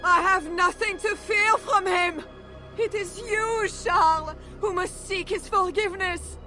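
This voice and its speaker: A woman's voice: very high voice, unhurried, bright, forceful tone, soft background music, exaggerated voice. An actress playing a devout, merciful and steadfast medieval noblewoman, earnest and prayerful, in a video game. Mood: defiant, prayerful. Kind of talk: dramatic video game acting.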